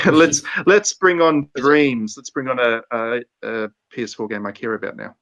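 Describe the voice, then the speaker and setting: A man's voice: mid-range voice, steady tone, moderate pace, fairly bright tone, lo-fi sound. A man hosting a podcast in a casual, conversational discussion style. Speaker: podcast host.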